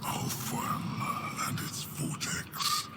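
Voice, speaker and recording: Breathy, gloating dark elf tyrant. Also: male voice. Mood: gloating triumph. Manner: very slow, gloating. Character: triumphant, menacing male dark elf tyrant king. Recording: loud noise behind the voice.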